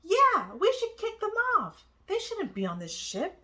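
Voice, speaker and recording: Woman as angry storybook passenger, raised high pitch, forceful, indignant, accusing. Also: huge pitch swings, unhurried, exaggerated voice. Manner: loud, indignant. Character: angry adult passengers on a refugee ship, accusing.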